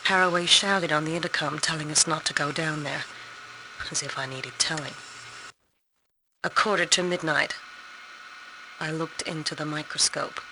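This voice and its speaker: Low-voiced, brooding lab biologist. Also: female voice, fairly even intonation. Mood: haunted, ominous. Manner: measured, clinical, brooding. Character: grave woman biologist dictating lab log, growing haunted. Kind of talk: video game audio log acting. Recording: noisy in-game recorder log.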